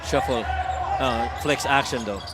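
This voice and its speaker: Filipino guy doing color commentary on basketball, medium pitch, breaks plays down in taglish. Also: unhurried, very noisy. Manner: explanatory, switches between English and Tagalog. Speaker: color commentator, game analyst. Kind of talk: live basketball analysis.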